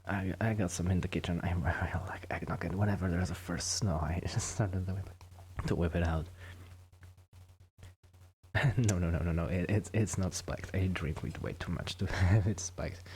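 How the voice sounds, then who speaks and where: A man doing a soft-spoken, casual ASMR roleplay, chatting gently and hesitantly to the listener, at times dropping into a whisper. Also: low voice, moderate pace, a little hiss or hum. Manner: hesitant, rambling, gentle, often drifting into whispers. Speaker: ASMR performer playing a friendly host.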